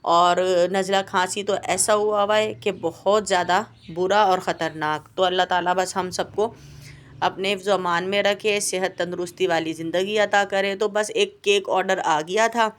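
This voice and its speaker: A woman's voice: medium pitch, steady tone, normal speed. Home baker woman: sore throat, coughing, plaintive and rambling in her voice-over. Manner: plaintive, complaining, rambling with hesitations. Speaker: home baker and cooking channel host. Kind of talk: voice-over vlog chat. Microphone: home voice-over mic.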